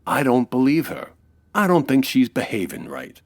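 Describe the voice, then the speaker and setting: An actor playing a sardonic, menacing gangster boss in a comedic old-time radio crime drama, husky and clipped. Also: male voice, medium pitch, huge pitch swings, normal speed. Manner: clipped wisecracking tough-guy talk.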